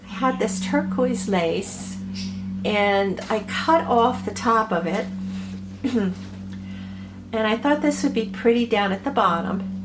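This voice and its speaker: Lady with a cold doing a craft tutorial, kinda high voice, super slow, bit of hiss behind. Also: lively intonation. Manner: gentle, meandering, many ums and uhs. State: has a cold, a little hoarse. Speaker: crafter showing her ornaments.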